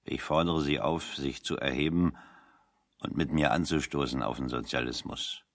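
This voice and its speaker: A shrewd, plain-spoken refugee worker in a radio drama. His voice sits very low, and he speaks with sly, mock-formal solemnity. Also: normal speed. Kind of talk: 1970 German radio play dialogue.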